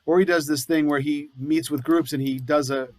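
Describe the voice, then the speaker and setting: A man's voice: on the high side, fairly even intonation, normal speed, no echo. Casual man hosting on a dry call. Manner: casual, filler-heavy. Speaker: congregation program host. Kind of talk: moderating a Q&A. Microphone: video call.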